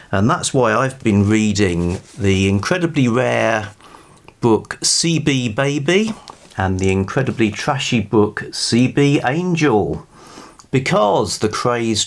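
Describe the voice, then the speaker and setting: A British man with a fairly low voice, talking very slowly. He rambles informally, pausing to think, with a wry, amused manner, like a vlogger chatting through books. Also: gravelly. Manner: halting, thoughtful, wryly amused. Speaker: book review vlogger. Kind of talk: rambling, informal book talk to camera.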